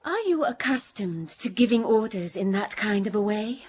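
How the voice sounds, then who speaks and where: A young actress playing a quiet, restrained governess in a radio drama, narrating in a hushed voice. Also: female voice, medium pitch, expressive, moderate pace, breathy edge. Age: young adult. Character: plain, reserved young governess.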